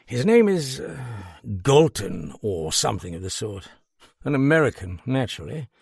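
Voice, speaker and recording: A man voicing a calm, wary male detective who speaks in a measured, matter-of-fact way. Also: medium pitch, gravelly, slightly rough, dry recording, clean studio sound, exaggerated voice.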